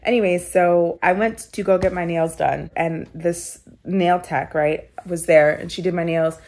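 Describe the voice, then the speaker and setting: A young woman from Kenya with a lowish voice tells her own story in a sit-down interview. She speaks reflectively and casually, leaning on filler words as she thinks aloud. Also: steady tone, unhurried, a little mellow, slight background noise. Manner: reflective, conversational, many "like" fillers. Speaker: storyteller guest.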